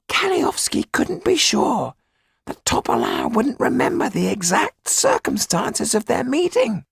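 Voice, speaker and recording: A man voicing a calm schemer in a thin falsetto, explaining things deliberately in an audiobook. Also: gravelly, husky, no room sound, studio quality, full character voice.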